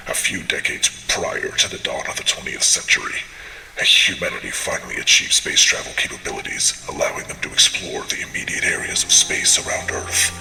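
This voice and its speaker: A man voicing a stern male alien warrior who delivers a formal, measured audio log report in a gravelly voice. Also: extremely low pitch, bright, forceful tone, reverberant, heavily acted, low-quality recording. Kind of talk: scripted in-character audio log narration.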